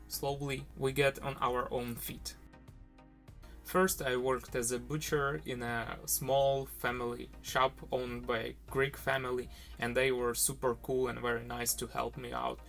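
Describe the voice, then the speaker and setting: A young man with a Ukrainian accent tells his own story in earnest, simple English, with small slips in grammar. His voice sits at an ordinary middle pitch for a man. Faint music plays beneath the voice-over. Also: creaky, a bit bright. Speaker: vlogger, diorama maker.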